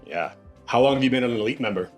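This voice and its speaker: A man's voice: medium pitch, fast talker, soft background music. A young man hosting a podcast in casual interview chat, upbeat and calling his guest "dude" and "bro". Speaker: podcast host.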